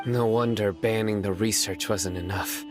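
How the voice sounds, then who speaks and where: A man plays a stern young guardian from a fantasy game, low in pitch and unbending. His delivery is terse, grave and deliberate, with music clearly playing behind him. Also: steady tone, lightly acted. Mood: firm, knowing. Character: stern, principled young male warrior guardian, unyielding and terse. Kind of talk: dramatic video game voice acting.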